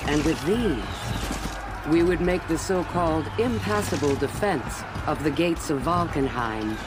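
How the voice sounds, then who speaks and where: An actor playing a commanding, coldly confident knight in a game cutscene. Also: male voice, fairly high pitch, animated, measured pace. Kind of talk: dramatic game cutscene acting. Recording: very noisy battlefield-like ambience behind.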